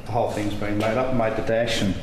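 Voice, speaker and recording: A man's voice: fairly low pitch, level tone, quick pace. An Australian man in his sixties, a car collector chatting casually and with some hesitation about his cars in a large workshop shed. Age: 60s. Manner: rambling, hesitant, laughs easily. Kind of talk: casual interview chat. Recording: very echoey shed with noise.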